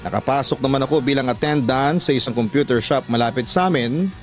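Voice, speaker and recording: A man's voice: mid-range voice, a little fast. A man with a Filipino accent reading a scripted first-person story as a dramatic radio narration. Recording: background music under the voice. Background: music.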